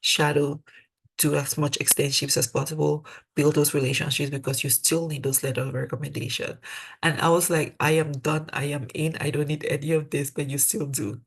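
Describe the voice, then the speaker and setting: A woman's voice: low voice, little pitch movement, a little fast, breathy edge. A young woman from Cameroon with a Cameroonian accent, a dental student mentor giving earnest webinar advice and repeating key points for emphasis over a video call.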